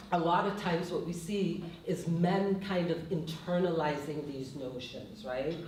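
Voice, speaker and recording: A woman's voice: low-pitched, a little mellow, a little hiss or hum. A woman from Guyana with a Guyanese accent, a professor speaking on a discussion panel, thoughtful and often asking "right?". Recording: echoey room, mic far off.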